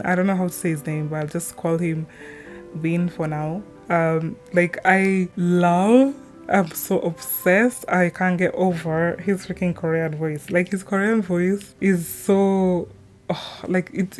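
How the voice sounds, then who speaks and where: A fan's gaming channel, where a young woman with a lowish voice gushes and wanders through her favourites over soft music. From Africa. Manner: gushing, meandering, often trailing off. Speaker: gaming video creator. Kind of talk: casual rambling fan chat. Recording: music behind the voice. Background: background music.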